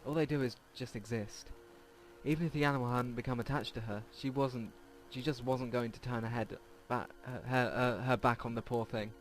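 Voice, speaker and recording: A man's voice: mid-range voice, steady tone, normal speed, a little hiss or hum. A man hosting a let's play, reading visual novel text aloud and stumbling over words now and then. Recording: game music behind the voice. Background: game music.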